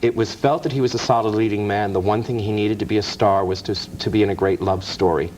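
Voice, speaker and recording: A man's voice: on the low side, fairly even intonation, fast, a little mellow, a little hiss or hum, poor audio. A man, a guest author, explaining film history in detail in an interview, with small restarts. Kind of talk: interview talk. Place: TV studio.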